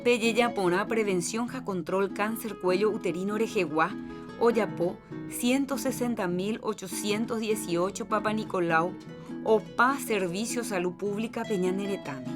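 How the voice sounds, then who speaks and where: A woman's voice: mid-range voice, a little slow. A woman with a Paraguayan accent reading a scripted health announcement as a radio presenter. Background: guitar music.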